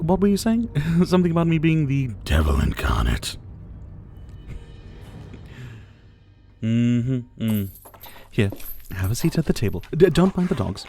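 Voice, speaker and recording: A possessive yandere dictator, acted by a man, speaks close and intimate in an ASMR roleplay. His mellow voice swoops playfully and settles into a gravelly fry. He is doting and menacing at once, condescending and flirtatious. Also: fairly high pitch, full character voice. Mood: playful, teasing. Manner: silky, condescending, flirtatious, doting with pet names. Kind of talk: ASMR roleplay, intimate dramatic acting addressed to the listener.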